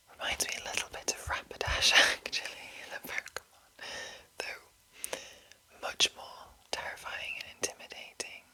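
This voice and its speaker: A woman whispering in a breathy, unvoiced voice, softly and deliberately reading aloud as an ASMR host. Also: poor audio. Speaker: ASMR video host and narrator.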